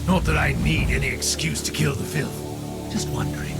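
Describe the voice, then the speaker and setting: A man's voice: fairly high pitch, normal speed, vocal fry. A man playing a proud, zealous space marine sergeant in a video game, declaiming with menace and disdain. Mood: sceptical disdain. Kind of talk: video game cutscene acting. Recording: loud music behind the voice.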